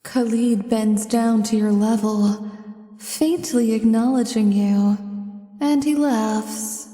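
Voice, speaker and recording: A woman's voice: on the high side, fairly even intonation, slow pace, mellow, echoey room, big-room sound, recorded from a distance. A woman narrating a scripted fantasy story in the second person, as its storyteller. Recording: clear music behind the voice.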